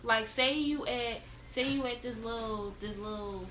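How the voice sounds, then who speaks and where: A young woman with a bright, forceful voice, weighing in with firm opinions in casual slang. She sits in an echoey room with a little noise behind her. She sounds sure of herself and talkative. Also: a little high, measured pace. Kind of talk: casual chat.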